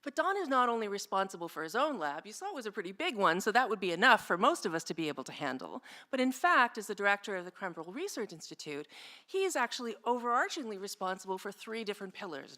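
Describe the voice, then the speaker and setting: A slightly hoarse woman giving an informal tribute speech at an academic event, chatty, with many fillers and asides. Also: medium pitch, very animated, quick pace, bright, forceful tone. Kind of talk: informal presentation speech with slides.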